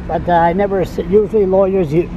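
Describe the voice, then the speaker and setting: A man interviewing someone on a city sidewalk, asking blunt, gruff questions. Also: high voice, steady tone, quick pace, lo-fi sound, background noise. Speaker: street interviewer filming on a phone. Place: city sidewalk outdoors in winter.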